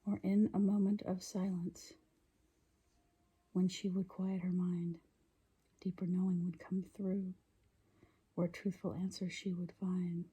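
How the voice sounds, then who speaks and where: Woman, ASMR poetry reading, very breathy whisper, lowish pitch, gentle measured delivery. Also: little pitch movement. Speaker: poetry reader.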